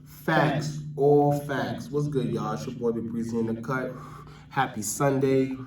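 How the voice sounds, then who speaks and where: Male New York vlogger, mid-pitched, loose slangy street talk to viewers, noisy distant recording. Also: steady tone, a little slow. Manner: slangy, repeats "you know what I'm saying". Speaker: vlogger host. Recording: roomy, distant mic, noisy background.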